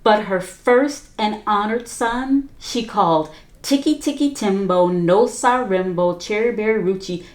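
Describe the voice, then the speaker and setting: A woman's voice: medium pitch, measured pace, echoey room. A woman reading a children's picture book aloud as a teacher, with chatty asides to young listeners. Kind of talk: storybook read-aloud.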